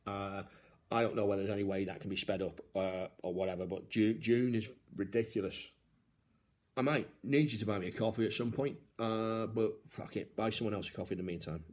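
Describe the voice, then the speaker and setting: A man with a fairly low-pitched voice talks in an off-the-cuff, matey way. He is hesitant, groping for words, and vents with casual swearing, as if chatting to mates. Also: fairly even intonation, brisk. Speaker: comedian filming himself on a helpline call. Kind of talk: casual, sweary venting to viewers.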